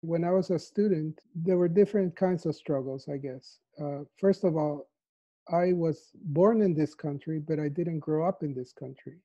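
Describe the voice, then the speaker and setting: Man from El Salvador, fifties, soft thoughtful interviewee with a slightly high, very mellow voice on dull lo-fi call audio. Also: normal speed. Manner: soft-spoken, thoughtful, with frequent fillers. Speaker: mathematician interviewee. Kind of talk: reflective interview answers. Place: home living room. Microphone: lapel mic on a video call.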